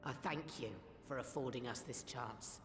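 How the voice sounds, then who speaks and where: A man's voice: medium pitch, normal speed, lightly acted. An actor playing a grateful male follower in a video game cutscene, speaking formally and deferentially. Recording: echoey game audio under music.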